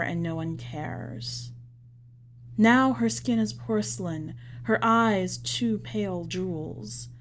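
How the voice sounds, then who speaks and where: A woman, the podcast's editor, reading a short story aloud in measured scripted narration. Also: mid-range voice, slight background noise.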